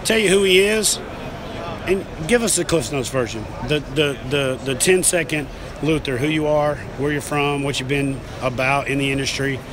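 A man talks in a folksy, joking way, his voice sitting a little high. He is filmed on a handheld camera in an indoor expo hall, with crowd noise clearly behind him. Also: moderate pace. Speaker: host. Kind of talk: casual trade-show walkthrough. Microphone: handheld camera at arm's length.

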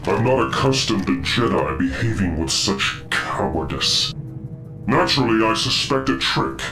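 An actor playing a menacing, pompous video game villain who taunts and gloats. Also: male voice, deep voice, big pitch swings, measured pace, creaky, music in the background, exaggerated voice. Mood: gloating, menacing.

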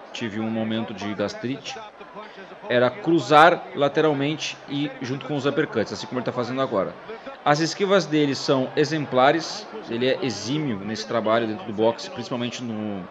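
Man, casual fight commentary, chatty banter, dull sound, loud crowd behind. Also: medium pitch. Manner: chatty, jokes with his co-host. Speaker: host and boxing commentator. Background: crowd noise from fight footage.

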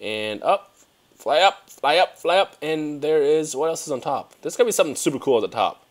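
A young man who grew up in Germany, chatting excitedly and rambling as he plays a video game, speaking into a headset mic at home. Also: on the high side, brisk. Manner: excitable, rambling, talks to himself while playing. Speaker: gaming youtuber. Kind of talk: casual let's play commentary.

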